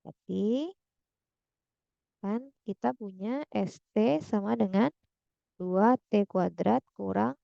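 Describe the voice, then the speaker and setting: A woman talks slowly through a math problem, thinking aloud and checking in with her listeners. Her voice is heavily gravelly with vocal fry. The recording is dull and lo-fi, close and dry. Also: mid-range voice, mellow, dry recording. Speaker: math tutor. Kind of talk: slow worked-example tutoring.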